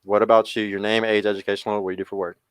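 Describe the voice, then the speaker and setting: A man's voice: on the low side, little pitch movement, rapid-fire, smooth, no echo. A man casually interviewing as host of a dating show, informal and slangy in manner.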